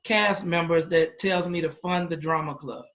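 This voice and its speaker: A man's voice: on the high side, fairly even intonation, normal speed, fairly bright tone. A man interviewing a guest about school activities in a casual, conversational way. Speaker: interviewer, school athletics host.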